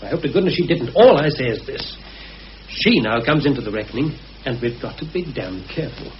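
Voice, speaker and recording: British radio-play conspirator, played by a male actor: grave, careful, hesitant, medium-pitched, on a hissy old recording. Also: sing-song, fairly quick, muffled recording, natural voice. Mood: insistent. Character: anxious, cautious male conspirator confiding a grave suspicion.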